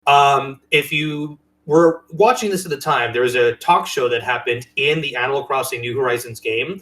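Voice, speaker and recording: A man's voice: medium pitch, normal speed, fairly bright tone, poor audio. A man hosting a casual podcast chat about games and movies, with a playful, jokey way of talking. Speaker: podcast host.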